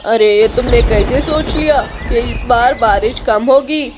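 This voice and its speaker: Woman as an emphatic high-voiced cartoon jungle creature. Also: a little slow, music in the background, lightly acted. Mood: boastful. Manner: exclamatory, emphatic. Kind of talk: Hindi cartoon story dialogue.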